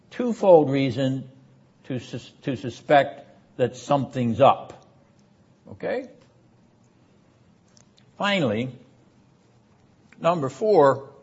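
A man giving a theology lecture as a seminary academic, speaking in a deliberate, emphatic manner with rhetorical repetition. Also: fairly high pitch. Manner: frequent throat-clearing. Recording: dull, muffled lo-fi lecture recording.

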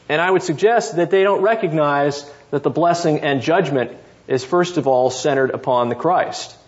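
A man's voice: fairly high pitch, highs cut off. A man teaching a seminary class, explaining step by step and often checking in with his listeners. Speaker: seminary professor. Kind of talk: classroom lecture on scripture.

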